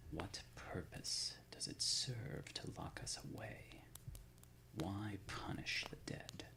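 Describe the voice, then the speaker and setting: A man voicing an ancient, solemn undead founder of a secret order in an ASMR roleplay, speaking deliberately in formal, old-fashioned words with a breathy, gravelly near-whisper. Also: sits low, full character voice, rough recording. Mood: grave, bitter.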